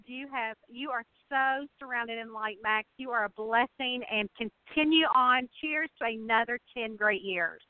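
A woman's voice: fairly high pitch, measured pace, few pauses, dry recording. A woman chatting casually as an interview guest, effusive and folksy in the way she talks. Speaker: guest, mystery novelist. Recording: narrow phone-line sound.

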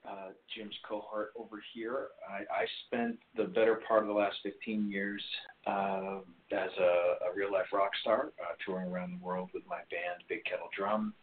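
Deep-voiced man telling his story. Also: level tone, normal speed. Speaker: guest, speaker and former musician. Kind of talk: casual interview talk. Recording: tinny call line with faint hiss.

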